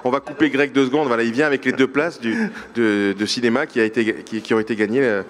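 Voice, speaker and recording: A man's voice: medium pitch, steady tone, fast talker. A man hosting a live web show, teasing his columnist and laughing often. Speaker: show host.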